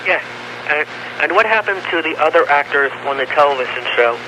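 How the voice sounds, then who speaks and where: Higher-voiced male caller, noisy line. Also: expressive, brisk. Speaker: phone-in caller. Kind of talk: asking a question on radio. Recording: noisy phone call on taped radio.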